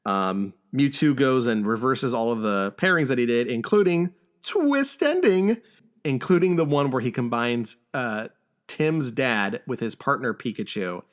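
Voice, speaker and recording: A man hosting a podcast, talking casually through a film's plot with a halting, stammering delivery. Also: mid-range voice, lively intonation. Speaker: podcast host. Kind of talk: casual film discussion and plot recap.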